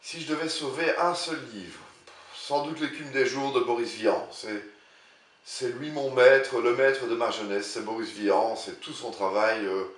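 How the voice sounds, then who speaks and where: A man's voice: medium pitch, steady tone, fairly bright tone, recorded from a distance. Older man, author, hesitant repeated words, roomy echo, slightly off-mic. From Belgium. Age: 60s. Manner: halting, repeats words while searching for them. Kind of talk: interview answers. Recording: roomy sound, voice a bit off-mic.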